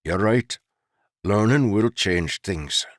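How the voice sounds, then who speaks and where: A humble lumberman father, deferential to his betters and gentle with his boy, as a male narrator gives him voice. His voice sits a bit above the reader's own and comes across dry and close. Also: fairly low pitch, animated, takes it slowly, no room sound, pitched above the performer's own voice.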